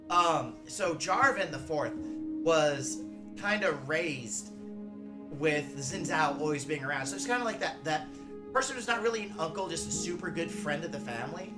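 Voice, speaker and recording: A man giving casual reaction commentary on game lore, informal and halting as he searches for names. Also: on the high side, lively intonation, music in the background. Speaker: reactor, drag performer and cosplayer.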